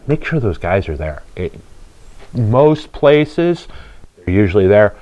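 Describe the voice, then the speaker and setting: A man's voice: lowish voice, darkish tone, no echo. A man hosting a vlog, casually telling stories and explaining things in plain, colloquial speech. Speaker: host of a car channel, drag racer. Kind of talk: casual storytelling and explaining to viewers.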